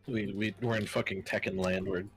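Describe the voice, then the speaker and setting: A man with a very low voice chats casually during a group game stream. He is joking and swears freely. Faint music sits behind him. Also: fairly even intonation, fairly quick, rough recording. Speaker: player in a fan-dub stream.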